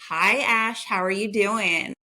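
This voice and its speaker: A woman hosts a video call interview with an enthusiastic, upbeat delivery. Her voice is bright and forward, at a middle pitch. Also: slow, low-quality recording. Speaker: host, community leader.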